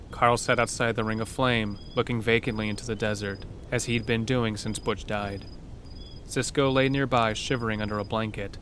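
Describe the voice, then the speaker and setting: Man, narrator, lowish voice, scripted horror western storytelling, slight ambience behind. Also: a bit bright, a little hiss or hum.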